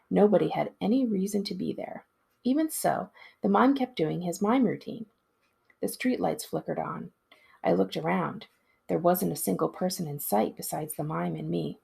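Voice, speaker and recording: A woman, a school librarian, giving an expressive read-aloud of a storybook in a narrator's style. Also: on the low side, normal speed, gravelly, breathy edge.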